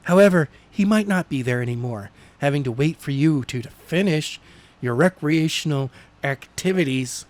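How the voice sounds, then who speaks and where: A man's voice: a little high, lively intonation, moderate pace, natural voice. A man voicing a vengeful, impatient young woman who argues indignantly, in an amateur audiobook reading. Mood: impatient, smug.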